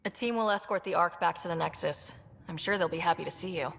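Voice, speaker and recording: An earnest young space explorer in a video game. Her voice is fairly low with noticeable fry, resolute in manner, over a faint rumble. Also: fast talker, fairly bright tone. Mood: sincere, composed.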